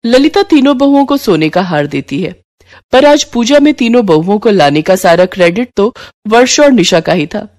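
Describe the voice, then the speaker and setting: A woman's voice: medium pitch, expressive, moderate pace, a little mellow. Woman narrating a scripted story.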